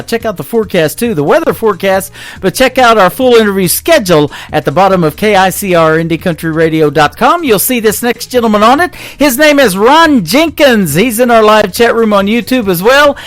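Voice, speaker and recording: A man's voice: high-pitched, animated, a little fast, dry recording. A man hosting a radio show, chatting casually between songs with frequent fillers. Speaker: radio host.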